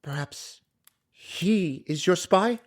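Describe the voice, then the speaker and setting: A man's voice: high voice, animated, slow, a little hiss or hum. A man playing an earnest, innocent nineteen-year-old merchant sailor in an audio drama, polite and openly trusting. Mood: anxious, trusting.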